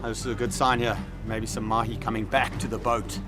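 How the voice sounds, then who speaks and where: Man, Austrian accent, fishing show host, excitable, on a boat, music underneath. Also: on the low side, fairly quick. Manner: excitable, exclaiming. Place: on a boat offshore. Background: background music.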